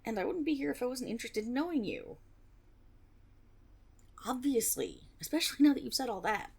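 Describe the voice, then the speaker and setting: Woman playing a husky, flustered young tiefling rogue. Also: on the high side, lively intonation, brisk, darkish tone, exaggerated voice. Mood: playful, teasing. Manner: nervous rambling with stammers, sighs and breathy giggles. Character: flustered, eager young female tiefling rogue with a teasing streak. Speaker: audio roleplay performer. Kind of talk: intimate one-sided fantasy audio roleplay, ASMR.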